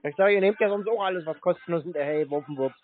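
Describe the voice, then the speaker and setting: A man's voice: fairly high pitch, quick pace, soft background music. A man bantering casually on the phone in a teasing, sarcastic manner. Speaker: prank call recipient baiting scam callers. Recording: tinny phone-like sound.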